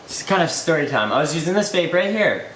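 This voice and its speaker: A man in his twenties telling a rambling story-time vlog, his voice slightly hoarse. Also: fairly high pitch, very animated, brisk, a bit bright, reverberant, slight background noise. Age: 20s. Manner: rambling and loose, lots of "like" and "you know". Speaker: YouTuber.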